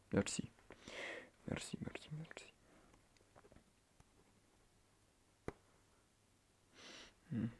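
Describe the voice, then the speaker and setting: A man playing a fussy, flustered schoolteacher in a soft-spoken ASMR roleplay, hesitant, breathy and stiffly formal, often muttering near a whisper. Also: on the low side, heavily acted. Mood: nervous, polite. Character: fussy, flustered male schoolteacher on first day.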